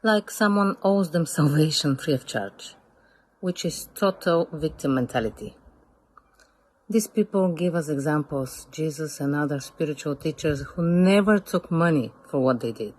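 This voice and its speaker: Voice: mid-range voice, lively intonation, normal speed, darkish tone, poor audio. An audience member from Bulgaria, politely reading out a prepared question.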